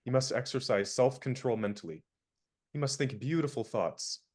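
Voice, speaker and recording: A young man acting an indignant valet in a radio play, crisp and lecturing. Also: medium pitch, lively intonation, moderate pace, a little mellow, lightly acted. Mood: stern.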